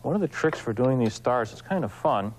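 A man hosting an instructional craft demonstration, explaining informally and in a folksy, unhurried way while he works with his hands. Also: medium pitch, expressive, slight background noise, low-quality recording. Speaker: woodcarving instructor, show host.

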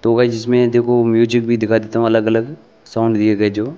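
A man's voice: mid-range voice, completely flat delivery, a little fast, lo-fi sound, noisy background. An Indian man narrating an unboxing and product demonstration, explaining informally step by step and repeating himself as he addresses viewers. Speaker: product review vlogger.

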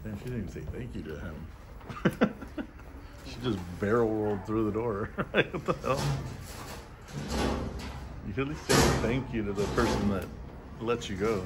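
A man's voice: lowish voice, animated, normal speed, pauses often, background noise. A man filming in public outside a post office, chatting and needling passersby with a sarcastic, laughing manner. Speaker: First Amendment auditor filming in public. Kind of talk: casual confrontational street filming.

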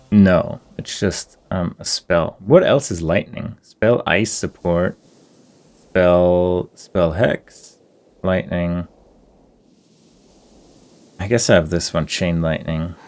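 A man's voice: low-pitched, takes it slowly, gravelly, long pauses, a little mellow. A man giving casual video game playthrough commentary, reading out details and thinking aloud in an understated, musing way. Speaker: gaming video host.

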